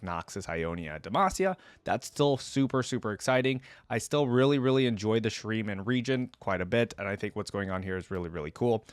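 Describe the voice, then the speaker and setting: A man's voice: mid-range voice, no room sound. A man giving informal, rambling reaction commentary as a video host.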